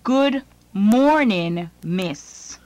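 Female lesson narrator, very slow, measured, dry studio sound. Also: mid-range voice, expressive, darkish tone, no room sound. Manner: slow, deliberate, phrase by phrase. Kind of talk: scripted lesson reading.

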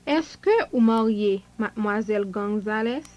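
A woman's voice: medium pitch, normal speed, a little mellow, dull audio. A woman speaking scripted dialogue lines in a language lesson, enunciating carefully for learners.

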